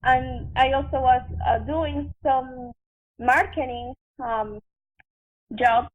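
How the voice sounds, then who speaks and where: Thin, band-limited audio carries a young woman's high voice with a Venezuelan Spanish accent. She talks casually as an interview guest, halting and restarting as she tells her story in a conversational way. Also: steady tone, phone-quality sound. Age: young adult. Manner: halting, filler-heavy, conversational. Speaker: podcast guest, actress and chef.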